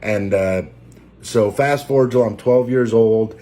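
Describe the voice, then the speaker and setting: Man, 40s, Maine accent, low voice; unscripted emotional testimony, hesitant delivery. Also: dark tone. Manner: halting, many fillers and restarts; emotional, close to tears. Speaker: trucker and preacher.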